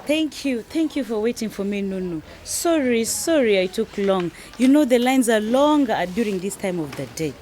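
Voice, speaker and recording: Lady acting determined young wife in a radio play, highish lively voice, talking her friend into stuff, bit of noise. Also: moderate pace, hardly any pauses, natural voice. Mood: apologetic. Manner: assured, emphatic. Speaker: actor in a radio drama. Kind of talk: educational radio drama acting.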